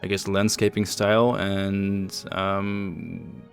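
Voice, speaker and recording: A man hosting a developer livestream, explaining things casually in a measured, halting way. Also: low-pitched, level tone, vocal fry. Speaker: host and project lead on a developer livestream. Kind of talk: casual show-and-tell commentary over game screenshots. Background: faint music.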